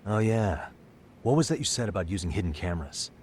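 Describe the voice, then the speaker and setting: An actor playing a calm, polite but direct male detective in a video game drama, asking probing questions. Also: sits low, fairly quick, a little hiss or hum. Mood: easygoing. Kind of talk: video game cutscene acting. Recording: background music under the dialogue.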